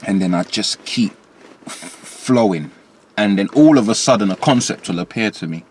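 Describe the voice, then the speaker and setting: A man with a London accent, a rapper guest in a candid interview, telling stories in an animated way with London slang. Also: normal speed, slight background noise. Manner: lively, rising pitch when quoting himself.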